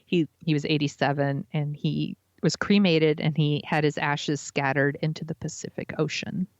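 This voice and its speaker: Low-pitched woman chatting casually. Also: fairly even intonation, normal speed, no room sound, lo-fi sound. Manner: enthusiastic, informal. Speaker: podcast co-host. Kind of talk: casual podcast chat.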